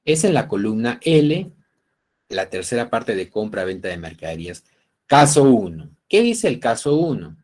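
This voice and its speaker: A man's voice: medium pitch, sing-song, normal speed, darkish tone. A man with a Peruvian Spanish accent lecturing an online university class, explaining cases step by step and often checking that students follow. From Peru. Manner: didactic, checking understanding with tag questions.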